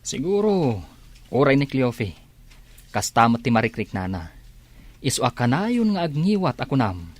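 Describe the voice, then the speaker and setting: A reflective, hesitant bachelor, played by a man in a drama. His voice is middling in pitch for a man as he chats with his friend. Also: big pitch swings, normal speed, long pauses. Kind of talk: drama dialogue.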